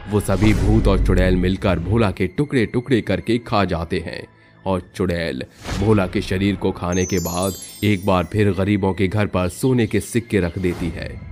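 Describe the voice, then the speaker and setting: A man narrating a scripted horror story for a cartoon storybook channel, steady and dramatic. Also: sits low.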